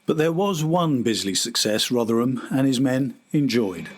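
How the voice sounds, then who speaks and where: A man's voice: medium pitch, lively intonation, moderate pace, slight background noise. A man reading scripted narration as the host of a history documentary podcast.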